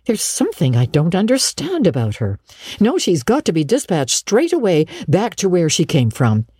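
A woman's voice: lowish voice, huge pitch swings, normal speed, warm tone, slightly rough, no echo, clean studio sound, pitched above the performer's own voice, heavily acted. A woman voicing a stern, exasperated middle-aged farm woman in an audiobook, with curt, emphatic scolding. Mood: firm, curt. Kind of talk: audiobook character acting.

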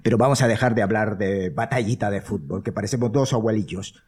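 A man's voice: lowish voice, brisk, a little mellow, lightly acted. An actor playing an easygoing, conciliatory male friend in a live audio drama, casual, with a Castilian Spanish accent. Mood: friendly. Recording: noticeable background noise, live recording.

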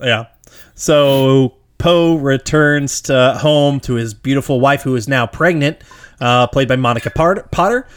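A man co-hosting a casual movie podcast, animated and laughing often, his voice jumping up when he gets worked up. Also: mid-range voice, unhurried, smooth. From Georgia, US. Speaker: podcast co-host. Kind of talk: casual movie review chat.

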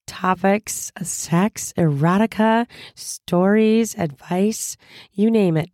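A hoarse woman hosting a casual solo podcast, chatty and upbeat with frequent laughs, recovering from a cold. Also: fairly low pitch, darkish tone, no echo, studio quality. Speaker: podcast host.

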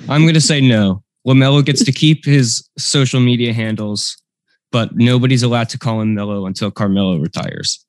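A man's voice: mid-range voice, normal speed, dry recording. An eighteen-year-old man co-hosting a podcast, giving firm opinions in casual chat. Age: late teens. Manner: opinionated, firm stance-taking. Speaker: podcast co-host.

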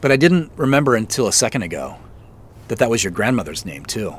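A wry, hesitant businessman, animated pitch. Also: fast talker, a little hiss or hum. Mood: wry, chatty. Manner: hesitant, self-deprecating, chuckles as he talks. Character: uneasy, wry businessman searching for answers. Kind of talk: naturalistic audio drama dialogue.

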